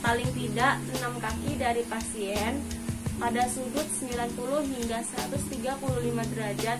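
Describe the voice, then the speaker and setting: A woman's voice: a little high, steady tone, moderate pace. A young woman giving scripted, step-by-step procedural instruction. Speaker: student demonstrating dental radiography procedure. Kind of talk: instructional narration and scripted patient explanation. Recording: loud music behind the voice. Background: loud background music.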